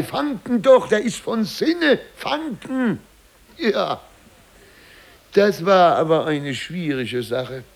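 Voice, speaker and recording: Pompous small-town mayor, slow and wordy, big swings in pitch, old radio play. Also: male voice, gravelly, exaggerated voice. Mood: agitated. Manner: hesitant, wordy, dramatic storytelling with interjections. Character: flustered, self-important small-town German mayor recounting a scandal. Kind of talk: 1950s German radio play acting.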